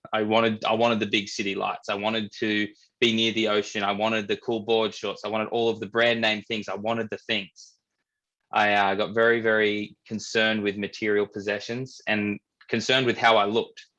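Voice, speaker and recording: A young Australian man from New South Wales, a men's coach, telling his life story in a relaxed, candid way and often repeating words as he thinks. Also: fairly low pitch, moderate pace, poor audio. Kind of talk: casual storytelling interview.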